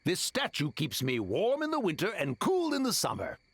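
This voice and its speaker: A man's voice: sits high, wildly swooping pitch, moderate pace, slightly rough, no room sound, full character voice. A man voicing a grumpy, boastful tanuki yokai trickster in a video game, with a cocky, theatrical delivery. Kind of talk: video game character acting.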